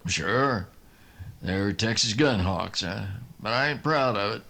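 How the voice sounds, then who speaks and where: A man as a slow-drawling Texan cowboy drifter. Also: lowish voice, animated, creaky, bright, forceful tone, exaggerated voice. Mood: earnest warning. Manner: folksy, drawling. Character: easygoing, boastful Texan drifter just sobered up. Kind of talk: old-time radio western acting.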